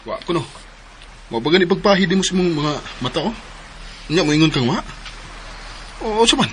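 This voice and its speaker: A concerned young husband, probing, slightly high voice, radio drama. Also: male voice, expressive, moderate pace, a bit bright, slight background noise. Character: concerned young husband questioning his wife.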